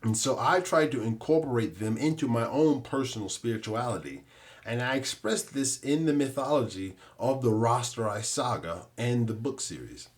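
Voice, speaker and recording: A man hosting a podcast, delivering a scripted monologue in a measured, explanatory manner. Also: medium pitch. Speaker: podcast host and author.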